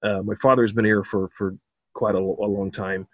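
A man with an American accent, a bodybuilding coach talking as a podcast guest in a relaxed way, laughing often and repeating words. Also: on the low side, moderate pace, dry recording. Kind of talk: casual podcast interview.

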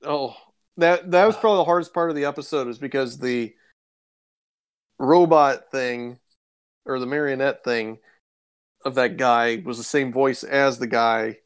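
A man with a medium-pitched voice. He talks casually and conversationally as a podcast co-host. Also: unhurried, pauses often, clean tone. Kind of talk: casual chat.

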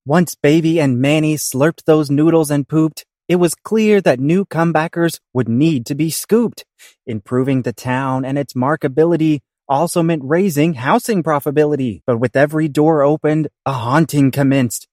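A man's voice: on the high side, moderate pace, a little mellow, no echo, clean studio sound. Man narrating rhymed comic audio drama. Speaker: show cast member, narrator. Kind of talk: rhymed theatrical storytelling for comedy audio drama.

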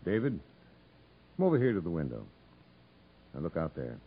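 An actor playing a grave but kindly prison captain, measured and straightforward, in a 1950s radio drama. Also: male voice, deep voice, huge pitch swings, breathy edge, full character voice. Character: grave, frank prison captain recalling a story, kindly toward children. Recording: muffled 1950s radio recording.

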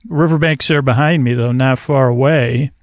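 A man hosting a sleep podcast with a creaky voice, soothing and meandering, often halting to correct himself and drifting into tangents. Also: mid-range voice, fairly even intonation, dry recording. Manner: soothing, lulling delivery. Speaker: podcast host. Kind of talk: rambling sleep-podcast monologue. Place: outdoors, in a kayak on a river.

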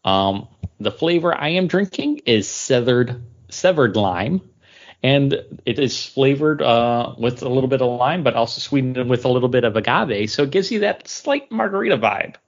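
A man co-hosting a podcast, explaining things casually in a halting way. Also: mid-range voice, expressive, dull audio. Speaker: podcast co-host. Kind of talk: casual chat.

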